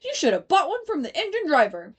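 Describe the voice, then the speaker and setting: A woman's voice: very high-pitched, wildly swooping pitch, a little fast, a bit bright, voice pushed up, exaggerated voice. A woman playing a brusque train guard. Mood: impatient. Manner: brusque, impatient. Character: gruff male train guard, stern.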